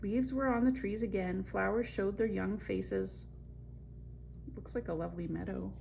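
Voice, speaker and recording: A woman hosting a children's story time, reading and chatting in an expressive, conversational way straight to young viewers. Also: medium pitch, measured pace, creaky, background noise. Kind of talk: picture-book read-aloud with chatty asides.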